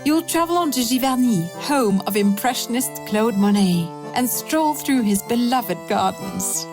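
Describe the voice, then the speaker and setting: A woman's voice: on the high side, expressive, unhurried. A woman reading a polished, scripted promotional travel narration. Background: music.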